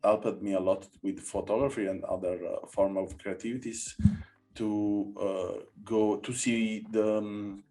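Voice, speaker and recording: A man from Italy with an Italian accent, hosting a casual podcast interview and searching for his words in halting, non-native English. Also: fairly low pitch, level tone, mellow. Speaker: podcast host.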